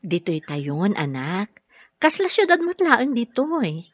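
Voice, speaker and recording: A woman's voice: medium pitch, very animated, slow, slightly rough, no echo, exaggerated voice. An actress with an Ilocano Filipino accent playing a caring but firm therapist and young mother in a radio drama. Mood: gentle, motherly. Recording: dull lo-fi radio drama, music underneath.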